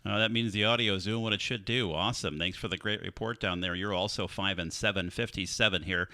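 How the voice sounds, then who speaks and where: Crisp, bright-voiced ham radio host. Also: male voice, deep voice, fairly even intonation, no room sound, studio quality. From Minnesota, US. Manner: friendly, brisk radio operating talk. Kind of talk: live ham radio contacts. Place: home radio shack. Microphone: studio dynamic mic with processing.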